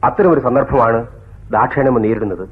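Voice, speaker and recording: Actor as a stern, measured prison doctor, muffled. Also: male voice, medium pitch, natural voice. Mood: stern, admonishing. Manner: measured, formal, admonishing. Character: composed, stern prison doctor counselling a patient. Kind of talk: theatrical radio drama acting. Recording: dull, lo-fi radio recording.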